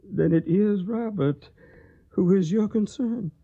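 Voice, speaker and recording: Grave ailing older father, husky, formal, radio drama, dull lo-fi recording. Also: sits high, takes it slowly, warm tone, heavily acted. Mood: stern, probing. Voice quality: husky, gravelly, weakened by illness. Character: ailing, stern Tennessee father in 1861.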